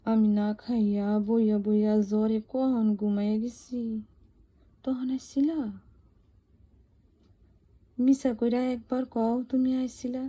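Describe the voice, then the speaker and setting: A woman with a rural eastern Bangladeshi accent recites poetry in a soft, husky, wistful and intimate, pleading way. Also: a little high, level tone, measured pace, long pauses. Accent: rural eastern Bangladeshi dialect. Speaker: poet reciting own poem. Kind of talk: dramatic poetry recitation in dialect.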